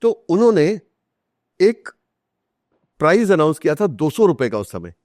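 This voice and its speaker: Emphatic Indian man explaining, higher-pitched voice. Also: very animated, measured pace, a little mellow, no room sound, studio quality. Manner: emphatic, repeats phrases and poses rhetorical questions; mixes Hindi with English phrases. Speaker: interview guest, author. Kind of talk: explanatory conversation with anecdotes.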